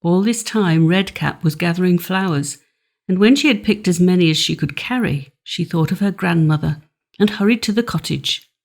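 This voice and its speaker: A woman narrating a fairy tale audiobook in a measured, expressive storytelling manner. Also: fairly low pitch.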